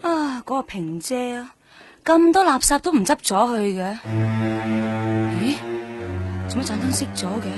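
Tired streetwise woman, grumbly, voice a bit high, radio drama, music behind. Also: expressive, measured pace, pauses often. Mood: grumbling, uneasy. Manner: offhand, grumbling.

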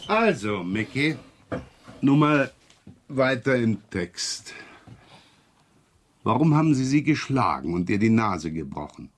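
An actor playing a wily middle-aged police inspector in a radio crime drama, dryly sarcastic, probing and feigning absent-mindedness. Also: male voice, mid-range voice, sing-song, slow, pauses often. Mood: dry sarcasm. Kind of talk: 1970s German radio crime drama acting.

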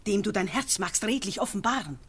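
A resolute farmer's wife in an old radio drama. She speaks verse earnestly, urging and persuading with calm conviction. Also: medium pitch, brisk, slightly rough. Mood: persuasive.

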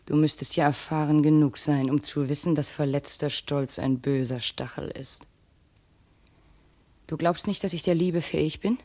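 An actress playing a proud, bitter, jilted princess now enslaved, speaking reproachfully in formal 1950s German radio drama style. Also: female voice, sits low, fairly even intonation, normal speed, darkish tone. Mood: proud, menacing. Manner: reproachful, cutting, formal stage diction.

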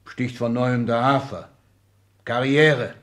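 A man's voice: medium pitch, steady tone, slow, fairly bright tone. A man acting an imperious older aristocrat in a 1960s German radio play, commanding and condescending in abrupt sentences. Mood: fiery, commanding. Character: imperious older aristocratic Excellency, restless military-minded patriarch.

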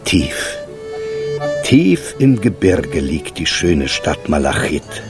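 An actor narrating a radio fairy tale as its storyteller, in a deliberate, dramatic manner. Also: male voice, very deep voice, sing-song, heavily acted. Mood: storytelling. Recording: music behind.

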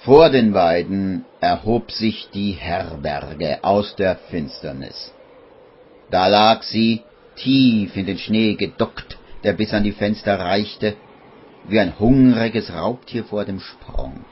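A man narrating a scripted radio play as a literary storyteller, measured and deliberate. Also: lowish voice, husky. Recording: dull vintage radio recording, faint music.

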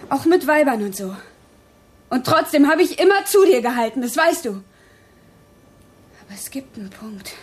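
High-pitched, emotional fed-up woman. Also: big pitch swings, unhurried, long pauses. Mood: bitter, resolved. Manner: emotional, blunt, reproachful. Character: wounded, loyal but fed-up woman confronting her man. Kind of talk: German radio drama acting. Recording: faint background noise.

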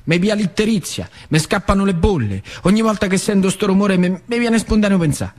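A man's voice: high voice, expressive, brisk. A man with a central Italian accent from Lazio, telling a story as a colloquial, vivid theatrical monologue. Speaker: stage actor narrating.

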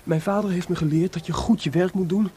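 An actor playing a feverishly excited, sleepless and proud male composer in a radio drama, confiding and rhetorical. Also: high voice, brisk, breathy edge. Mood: earnest, explaining.

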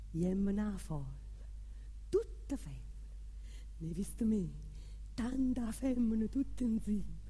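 A woman's voice: on the high side, warm tone. An actress playing a fiery working-class mother of eight with a southern Italian accent, in a theatrical dialect monologue. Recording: live music alongside the voice.

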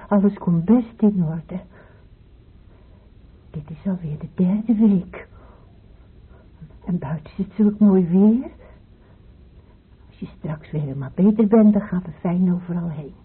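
An actress playing a nervous, fretful middle-aged mother in a radio drama, with a breathy, plaintive, rambling way of talking. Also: on the low side, slow, pauses often, full character voice. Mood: worried coaxing. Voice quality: husky, breathy. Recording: muffled, phone-like old radio recording.

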